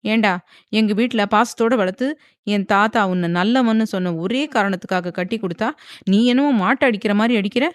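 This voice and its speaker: A woman voicing a fiery, defiant young wife who talks in a sharp, scolding way. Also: medium pitch, normal speed, no echo, studio quality, close to an everyday voice. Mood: furious.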